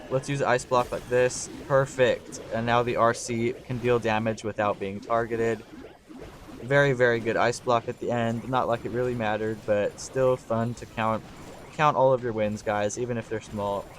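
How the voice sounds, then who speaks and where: Slightly hoarse young man commentating gameplay. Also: mid-range voice, moderate pace, slight background noise. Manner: talks through his thinking, excitable on big plays. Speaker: gaming channel host.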